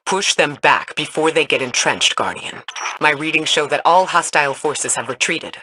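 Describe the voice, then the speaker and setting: A man's voice: on the high side, normal speed, bright, forceful tone, slight background noise, poor audio. An actor playing a grave, authoritative male mentor in a science fantasy video game.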